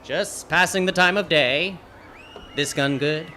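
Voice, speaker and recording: An actor playing a hard-boiled, wisecracking detective who narrates and talks with dry wit in a live old-time radio noir. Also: male voice, fairly high pitch, unhurried, slight background noise. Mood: cool needling. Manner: dry, deadpan, wry.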